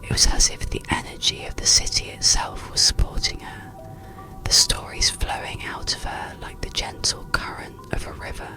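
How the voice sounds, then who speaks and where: A narrator telling a bedtime story in a slow, soothing, breathy ASMR whisper. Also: music in the background, poor audio. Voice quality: breathy, unvoiced whisper with creak.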